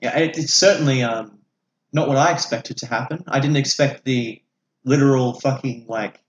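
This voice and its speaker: A man's voice: medium pitch, normal speed, a little hiss or hum. A young man co-hosting a podcast with casual, jokey, sweary film banter. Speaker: podcast co-host. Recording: echoey room.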